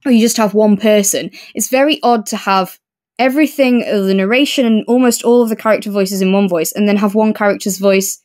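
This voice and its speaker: A woman's voice: mid-range voice, brisk, a little mellow. A woman co-hosting a podcast, chatting casually and thinking aloud as she reviews an audiobook. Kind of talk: casual chat, book review discussion.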